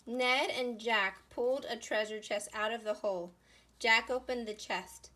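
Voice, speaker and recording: A woman teacher reading a children's story aloud and explaining it in a deliberate way for first graders. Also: fairly high pitch, pushed and bright. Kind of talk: read-aloud story narration and lesson instruction.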